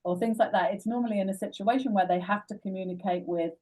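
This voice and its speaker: A woman's voice: mid-range voice, fast talker, a little mellow. A woman hosting an interview, an English teacher asking thoughtful questions. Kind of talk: interviewing a guest. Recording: distant mic.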